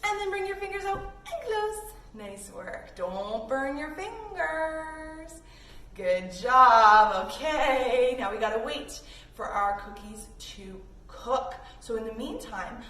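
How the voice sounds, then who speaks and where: A woman's voice: high-pitched, fairly bright tone. A woman instructing young children in a play-along yoga lesson, encouraging and playful, with little sound effects. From Canada. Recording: roomy, distant mic with faint noise.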